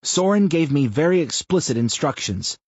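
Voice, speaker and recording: A man's voice: a little high, lively intonation, normal speed, slightly rough, no room sound, pitched above the performer's own voice. A man doing a cocky, foul-mouthed ringleader. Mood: firm, cocky. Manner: swaggering, profane. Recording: muffled lo-fi audio.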